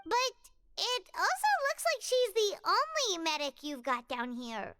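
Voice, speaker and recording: A woman's voice: very high voice, huge pitch swings, unhurried, background noise, heavily acted. A woman voicing a tiny, childlike, outspoken and fretful sprite companion in a video game. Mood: pointed. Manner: speaks of self in third person, blunt. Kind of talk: video game dialogue acting.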